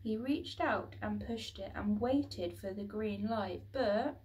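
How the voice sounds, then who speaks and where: Female children's storyteller: very unhurried, dramatic, expressive read-aloud, slightly distant from the mic. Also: mid-range voice. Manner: dramatic, with acted sound words.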